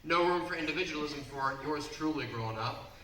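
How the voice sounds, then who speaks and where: A man in his twenties from the Baltimore area, doing a brash, punchy stand-up set on a comedy club stage and sometimes shouting. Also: on the high side, fairly quick. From Baltimore area, Maryland. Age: 20s. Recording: roomy, distant, noisy club recording.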